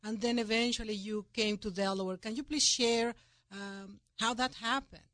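A woman hosting an interview in a chatty way, often backing her guests up with quick agreeing sounds. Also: on the high side, steady tone, a bit bright, no echo. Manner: chatty, frequent affirming fillers. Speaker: interviewer host.

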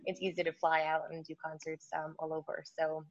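A woman's voice: sits low, completely flat delivery, fairly quick. Chatty woman pianist on a muffled line. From Norway. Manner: chatty, warm storytelling with frequent fillers. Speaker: pianist guest. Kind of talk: casual interview chat. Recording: muffled remote line with faint hiss.

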